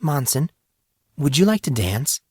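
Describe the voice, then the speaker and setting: Man as a poised, playful teenage girl, raised pitch. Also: very animated, a little slow, darkish tone, slightly rough, no room sound, clean studio sound, full character voice. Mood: playful, inviting. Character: playful, confident teenage girl student at formal dance.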